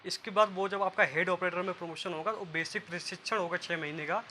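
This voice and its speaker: A man's voice: sits high, steady tone, fast, sharp tone, a little hiss or hum. A man giving an informal explainer to viewers, going step by step, repeating himself and addressing listeners as friends. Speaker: presenter of an exam and career guidance video.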